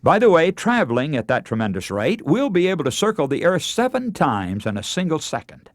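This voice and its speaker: A man delivering a radio sermon as a preacher, earnest and emphatic, with deliberate, oratorical phrasing. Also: a little high, huge pitch swings, dry recording, studio quality. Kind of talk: radio sermon, oratorical lecture with scripture readings.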